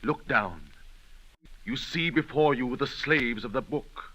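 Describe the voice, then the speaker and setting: A man's voice: medium pitch, takes it slowly, sharp tone, dull audio. A man acting an imperious, menacing Moroccan sultan in a 1930s radio drama, speaking in formal, commanding declamation.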